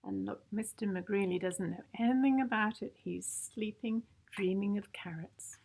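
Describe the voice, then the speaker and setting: A woman's voice: mid-range voice, moderate pace, vocal fry, darkish tone. A woman reading a picture book aloud to young children in a theatrical, playful way, leaning into sound words and stressed adjectives. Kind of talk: expressive storybook read-aloud for young children.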